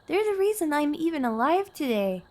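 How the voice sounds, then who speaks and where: A woman's voice: high voice, big pitch swings, moderate pace, mellow. A woman playing a nervous, earnest young girlfriend in an intimate audio roleplay, hesitant and pleading in her delivery. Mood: pleading, exasperated.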